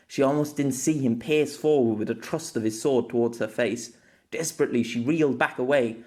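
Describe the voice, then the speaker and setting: A man narrating an action scene in an audiobook in a steady storytelling voice. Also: medium pitch. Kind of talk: scripted narration.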